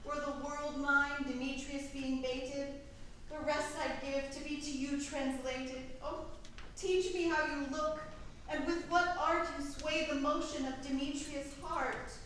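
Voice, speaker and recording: Woman as lovesick young woman, high plaintive voice. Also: fairly even intonation, measured pace, a bit bright. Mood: envious, pining. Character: jealous, lovesick, self-pitying young woman. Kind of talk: staged reading of Shakespearean verse. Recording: very echoey room, distant mic, faint noise.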